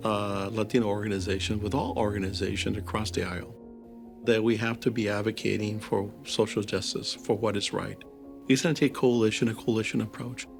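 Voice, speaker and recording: A man's voice: lowish voice, steady tone, a little slow, gravelly, faint music behind. A man running a social service agency, answering interview questions in an earnest, advocating manner. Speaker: agency CEO.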